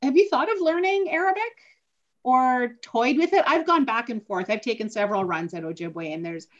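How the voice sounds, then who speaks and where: A woman's voice: on the high side, expressive, normal speed. Female podcast host from Northwestern Ontario; candid, chatty, dull lo-fi audio. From Northwestern Ontario, Canada. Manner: candid, chatty, laughs easily. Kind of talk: podcast interview.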